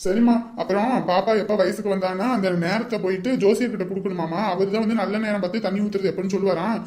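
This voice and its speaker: A woman's voice: medium pitch, steady tone, quick pace, a little mellow, natural voice. A woman playing a bossy, hot-tempered male elder in a village family drama, blunt and commanding. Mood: brisk instructing. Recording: roomy, echoing sound.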